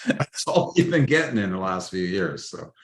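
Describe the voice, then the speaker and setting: A man's voice: low-pitched, big pitch swings, normal speed. A man, a guest writer on a casual podcast roundtable, talking in a folksy way and reaching for old sayings. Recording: roomy echo.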